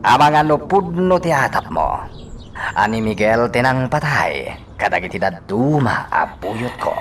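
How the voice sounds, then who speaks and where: A man plays a scheming Filipino congressman in a radio drama. He speaks slowly and deliberately, with wide dramatic swings in pitch and a brooding, suspicious air. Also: sing-song, a little hiss or hum. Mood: uneasy, insistent.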